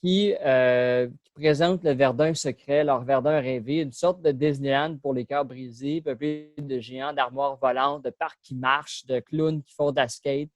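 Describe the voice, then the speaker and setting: A man's voice: medium pitch, fairly even intonation, unhurried, few pauses, dry recording. Guy talking about his poetry book, lots of uh's, dry sound. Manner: hesitant, many fillers. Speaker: poet presenting his book. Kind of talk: casual presentation.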